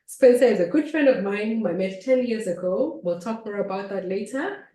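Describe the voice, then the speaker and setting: A woman's voice: mid-range voice, expressive, normal speed, mellow, far from the mic. A woman hosting a casual interview, chatty and teasing, who laughs often. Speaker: host. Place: home kitchen. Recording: roomy, from off-mic.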